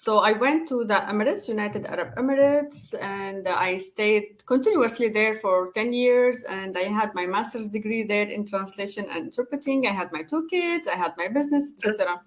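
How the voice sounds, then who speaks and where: A woman's voice: mid-range voice, expressive, normal speed, hardly any pauses. A woman from Syria with a Syrian Arabic accent, a life coach telling her story in an interview over a video call, hesitant with many fillers and restarts.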